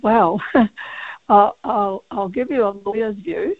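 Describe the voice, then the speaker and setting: A woman with a croaky, gravelly voice, a barrister speaking as a guest on a radio interview over the phone, halting with many fillers and restarts. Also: mid-range voice, no room sound. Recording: tinny phone line.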